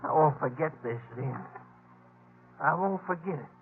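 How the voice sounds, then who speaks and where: A sullen, resentful outlaw who drinks, talking slowly in radio western style. The old recording is thin and tinny, with loud music underneath. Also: male voice, a little high, lively intonation. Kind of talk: old-time radio western drama acting.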